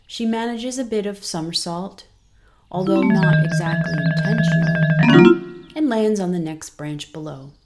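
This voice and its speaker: A woman reading a scripted children's story as narrator, in an expressive, deliberate storytelling manner. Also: mid-range voice, loud music behind the voice.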